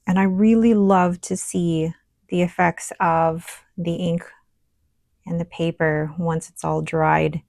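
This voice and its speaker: Hoarse, gravelly-voiced woman chatting at her desk. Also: fairly low pitch, slow pace, no echo, studio quality. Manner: chatty, relaxed, thinking aloud while working. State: scratchy, hoarse voice. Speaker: stationery vlogger. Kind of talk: casual show-and-tell while writing and painting.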